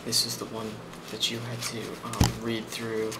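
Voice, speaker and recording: A man playing a friendly, rambling office boss in an ASMR role play, speaking softly and hesitantly with a reassuring manner and a creaky voice. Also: medium pitch, completely flat delivery, a little slow, natural voice. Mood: calm, reassuring. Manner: soft-spoken, hesitant, reassuring. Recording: loud constant background noise.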